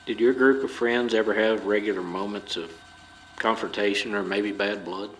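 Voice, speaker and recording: Male actor as stern police interrogator, lowish voice, formal procedural delivery, audio drama, faint background noise. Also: steady tone, normal speed, a bit bright, lightly acted. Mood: formal, probing. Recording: clearly noisy background.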